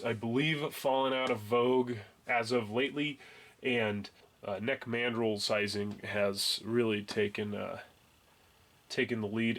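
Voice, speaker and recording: A man's voice: mid-range voice, fairly bright tone. A young man with a congested voice from a cold, casually explaining his process at a garage workbench, rambling with fillers and restarts. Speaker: home reloading hobbyist.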